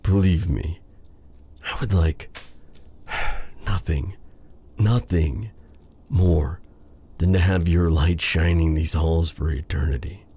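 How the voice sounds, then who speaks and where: Man acting a deep, husky brooding dark sorcerer. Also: full character voice. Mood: wistful, tender. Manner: halting, sighing, intimate, formal old-fashioned diction. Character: brooding, tender dark sorcerer, male captor in love. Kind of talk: romantic fantasy audio roleplay acting.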